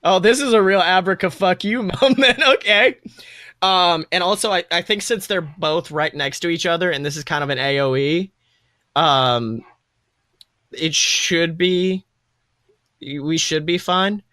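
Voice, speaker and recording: A man's voice: sits high, a little slow, bright, forceful tone, no room sound, clean studio sound. A young man casually narrating a tabletop game as game master, playful, exclamatory and sweary. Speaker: game master and podcast host.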